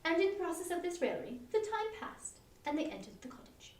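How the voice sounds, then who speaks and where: A woman narrates a story in a dramatic reading. Her voice is high and very animated. The recording is roomy. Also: brisk. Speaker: reader at a live online performance. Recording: roomy, distant from the mic.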